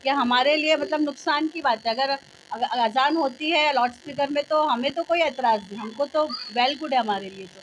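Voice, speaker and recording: A woman's voice: sits high, normal speed, a little hiss or hum, rough recording. A woman with a Mumbai Hindi accent, a local resident answering informally in plain, repetitive sentences. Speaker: local resident being interviewed. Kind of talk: informal street interview.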